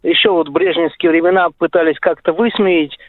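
A man's voice: fairly high pitch, fairly even intonation, brisk, dry recording. Male radio caller on a thin, dry phone connection, casually reminiscing. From Saint Petersburg, Russia. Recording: tinny, narrow phone line.

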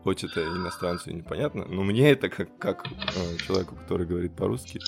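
A man's voice: sits low, quick pace, gravelly. A man co-hosting a casual discussion, hesitantly searching for his words. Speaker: podcast co-host. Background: music.

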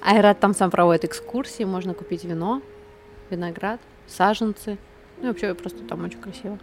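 A woman's voice: mid-range voice, brisk, slight background noise. A woman talking casually as a guest in a conversational interview. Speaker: podcast guest, city guide blogger.

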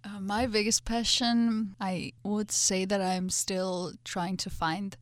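A woman's voice: mid-range voice, little pitch movement, takes it slowly, no echo, studio quality. A woman, musing thoughtfully as an interview guest. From Georgia. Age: 20s. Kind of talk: casual interview answers.

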